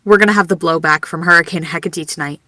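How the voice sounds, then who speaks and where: A woman's voice: fairly low pitch, fast talker, pushed and bright, dry recording. A woman playing a chatty, casual, teasing writer in a naturalistic fiction podcast. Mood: casual, playful.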